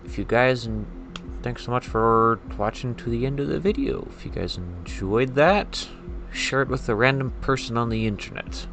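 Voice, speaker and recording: A man gives relaxed-worded, informal gameplay commentary, often reacting as if to himself. His voice is average in pitch, with game music clearly heard behind it. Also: normal speed. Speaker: gaming video host.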